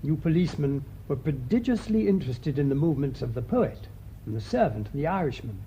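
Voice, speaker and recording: A British man acting a thoughtful, shrewd priest sleuth in a radio mystery, speaking in a measured, deliberate way. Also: sing-song, warm tone. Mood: shrewd, assured. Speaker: actor in the lead detective role. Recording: muffled radio recording with faint rumbling noise.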